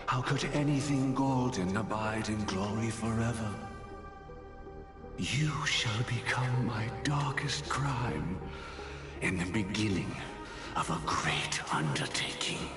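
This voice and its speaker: A solemn, pompous man pronounces doom in a video game. His low voice moves very slowly, with echo around it and music clearly playing behind. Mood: grandiose, ominous. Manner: solemn, archaic, pompous diction. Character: grave, grandiose male executioner passing a death sentence. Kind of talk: dramatic video game voice acting.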